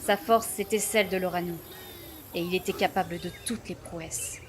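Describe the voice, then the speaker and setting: A woman playing a proud, solemn female chief of a primitive village clan in a fantasy audio drama, speaking in formal, measured phrases. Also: on the low side, steady tone, background noise, natural voice. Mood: reverent, firm. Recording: voice under clear background music.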